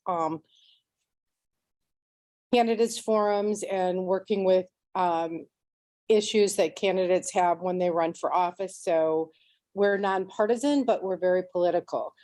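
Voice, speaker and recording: A middle-aged woman with a loud teacher's voice, giving a community presentation in a meeting room. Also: on the low side.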